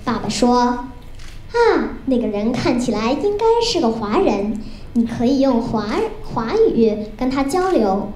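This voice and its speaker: A young girl reciting a rehearsed funny story on stage in a careful, expressive storytelling manner. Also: on the high side, unhurried. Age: child or young teen. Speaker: student storyteller. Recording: roomy with faint noise.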